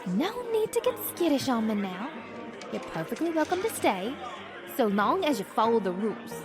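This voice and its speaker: A brassy, bossy American saloon hostess, drawling sweetly and knowingly. Her fairly high voice swings widely, with music and crowd behind her in a Western audio drama. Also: big pitch swings, normal speed. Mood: smug.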